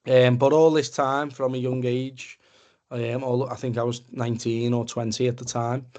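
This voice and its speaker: A man's voice: medium pitch, level tone, normal speed, darkish tone. A young man with a Liverpool Scouse accent giving an informal, plain-spoken personal talk in a conversational way. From Liverpool area, England. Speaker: men's mental health support group speaker. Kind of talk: informal personal testimony presentation. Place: online webinar video call.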